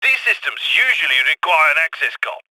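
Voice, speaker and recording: An actor playing a scrappy male heist handler in a video game, giving brisk, urgent instructions over radio comms. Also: on the high side, big pitch swings, bright, forceful tone, no room sound, full character voice, low-quality recording. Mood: instructing.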